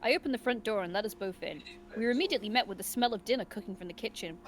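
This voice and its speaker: A giggly, animated woman streamer reading visual novel narration aloud expressively, mixed with casual banter. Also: mid-range voice, very fast. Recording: game music behind.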